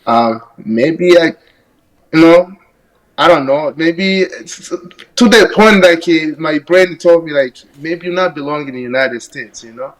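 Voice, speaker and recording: Young adult man, podcast guest, from Burundi; casual, reflective, somewhat higher voice. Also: lively intonation, rough recording. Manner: reflective, halting, many "you know" fillers. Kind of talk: casual conversation.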